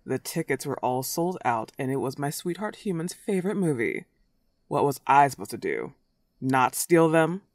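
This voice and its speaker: A woman's voice: rumbling low, very animated, darkish tone, no echo, studio quality, exaggerated voice. A woman playing a smug, clingy, lovesick werewolf in a comedic roleplay audio drama, taunting and cooing. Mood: defensive. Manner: taunting, drawn-out teasing and cooing.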